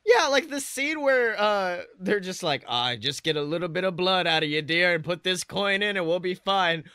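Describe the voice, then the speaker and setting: A man's voice: high voice, expressive, normal speed, bright, forceful tone, smooth, no room sound, studio quality. A man co-hosting a podcast in casual comedic chat, joking with lots of slang and swearing. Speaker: podcast co-host.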